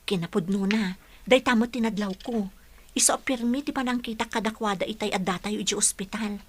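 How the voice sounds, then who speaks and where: A woman's voice: medium pitch, a little fast, lightly acted. A woman playing a caring, thoughtful teacher and friend in a radio drama, with an earnest manner. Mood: concerned, reflective. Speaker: voice actor.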